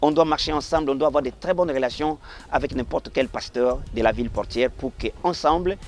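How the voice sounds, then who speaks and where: A man with a Congolese French accent, a pastor from Kongo Central, speaking in an interview with an emphatic, preacherly rise and fall. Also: brisk. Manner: preacherly, swinging between emphatic high and low hushed delivery. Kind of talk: interview testimony. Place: Matadi, DR Congo.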